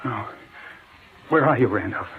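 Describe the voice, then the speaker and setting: An actor playing an anxious, haunted man in an old-time radio mystery, overwrought and halting in delivery. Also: medium pitch, lively intonation, natural voice. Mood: tense, anxious. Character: anxious, haunted man driven frantic by suspicion. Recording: tinny vintage radio recording.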